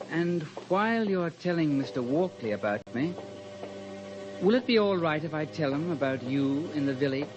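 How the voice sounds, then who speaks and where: A cocky older schoolboy, cool and mocking, in a vintage radio drama. The voice is fairly high for a male. The sound is dull and dated, with music behind it. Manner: cool, mocking, deliberately slow to say sir. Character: insolent, popular older English schoolboy, sly blackmailer. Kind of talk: old-time radio drama acting.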